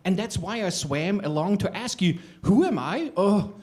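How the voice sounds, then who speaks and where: A man's voice: expressive, normal speed, a little mellow. A man voicing a small nameless creature that is lost and keeps asking who it is. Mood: pleading. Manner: pleading, questioning, high and sing-song. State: sobbing at times.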